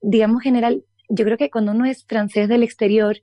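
A woman answers interview questions, pausing and restarting as she gathers her thoughts. Her voice is mellow and dark, and it is recorded dry and close with no room around it. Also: fairly high pitch, steady tone, no room sound. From Dominican Republic. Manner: thoughtful, many restarts and fillers. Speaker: interview guest, politician.

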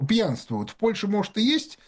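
A man in a casual conversation, telling animated anecdotes as a guest. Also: sits high, moderate pace.

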